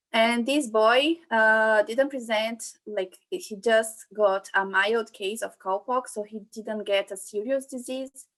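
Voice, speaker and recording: A young woman from Brazil with a Brazilian Portuguese accent, giving an informal science talk online and pausing often with fillers and restarts. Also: a little high. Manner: hesitant, many fillers and restarts. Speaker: guest speaker, PhD student. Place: home room, online event. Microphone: computer mic on video call.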